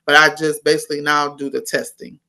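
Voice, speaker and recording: Woman, fifties, nurse guest, bright forceful tone, unhurried and reflective. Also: sits low, little pitch movement. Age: 50s. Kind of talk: casual interview conversation.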